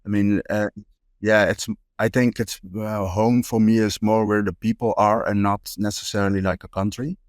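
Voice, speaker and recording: Low-voiced Dutch-born man, halting chat. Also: level tone, no room sound, studio quality. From Netherlands. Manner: halting, many fillers and restarts. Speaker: guest street photographer. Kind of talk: casual interview chat.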